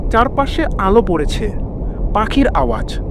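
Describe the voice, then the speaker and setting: A man's voice: high voice, sing-song, a little slow, background noise. A man narrating a scripted first-person horror story in a dramatic, suspenseful storytelling manner. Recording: background music under the voice.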